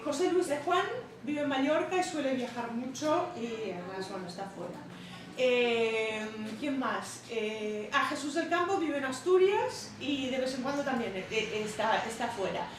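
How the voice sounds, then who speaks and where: A woman publisher talking at a public interview, hesitant with many fillers and restarts. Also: a little high. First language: not Catalan. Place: bookshop event room. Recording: echoey hall, distant mic, noisy.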